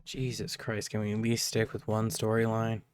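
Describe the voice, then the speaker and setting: A man's voice: on the low side, completely flat delivery, moderate pace, clean tone, dry recording, clean studio sound. A man streaming a game, giving casual, blunt commentary with exasperated swearing. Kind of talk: live gaming commentary, casual.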